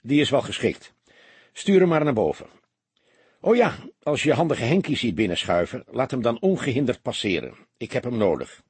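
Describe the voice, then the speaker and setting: A man voicing a weary, dutiful veteran police detective with a dry, deadpan and pensive manner, in an audiobook. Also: medium pitch, normal speed, breathy edge, no echo.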